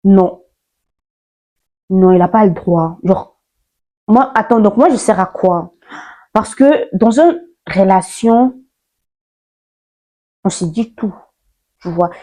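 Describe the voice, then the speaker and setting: A woman's voice: medium pitch, expressive, slow, pauses often, dark tone. Chatty young woman with a lilt. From Ivory Coast. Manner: chatty, playful, sassy, mixes slang. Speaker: YouTuber. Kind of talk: casual vlog chat.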